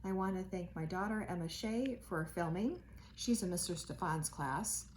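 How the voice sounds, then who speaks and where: A woman teacher reading a children's storybook aloud, with expressive delivery and dramatic emphasis. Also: on the low side, moderate pace, slight background noise.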